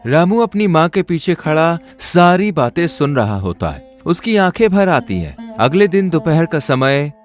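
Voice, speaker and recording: A man's voice: a little high, sing-song, a little slow, hardly any pauses, faint music behind. A man narrating a scripted cartoon story.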